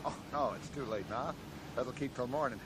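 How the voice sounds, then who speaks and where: An actor playing a kindly, middle-aged American small-town sheriff in a radio drama, speaking in a folksy, hesitant way. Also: male voice, medium pitch, normal speed, lightly acted. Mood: genial, hospitable. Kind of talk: 1980s radio drama acting. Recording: noisy 1980s radio drama recording.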